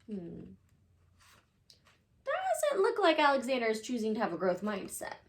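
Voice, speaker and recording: A woman with a high voice reads a children's picture book aloud. Her delivery swings widely in pitch, and she leans dramatically on key words. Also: wildly swooping pitch, a little slow, noisy background.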